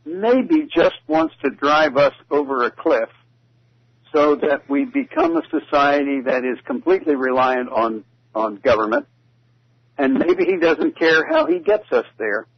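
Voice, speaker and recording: A man discussing politics casually as a radio commentator, sometimes halting and repeating himself. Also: fairly high pitch, big pitch swings, slightly rough, dry recording. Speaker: talk radio guest commentator. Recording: dull lo-fi radio audio.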